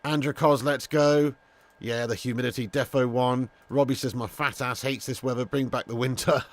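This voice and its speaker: A man's voice: mid-range voice, steady tone, moderate pace. A young English man hosting a live football watch-along, chatting casually, swearing, and greeting viewers by name from a room at home. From England. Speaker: host.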